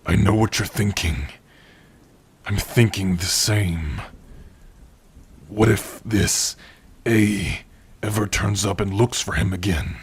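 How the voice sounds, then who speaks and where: Man voicing a husky, slow-talking gentle orc warrior. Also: fairly low pitch, heavily acted. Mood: reassuring. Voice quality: husky, breathy. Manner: slow, tender, measured delivery with long pauses. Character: gentle, proud male orc warrior-blacksmith, loving partner. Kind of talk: ASMR roleplay, intimate second-person acting.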